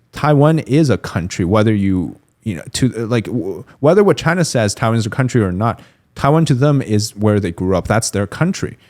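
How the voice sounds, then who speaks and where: A man's voice: lowish voice, a little mellow. A man as an interview guest on a podcast, explaining politics in a thoughtful, analytical way with many fillers and restarts. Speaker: guest commentator.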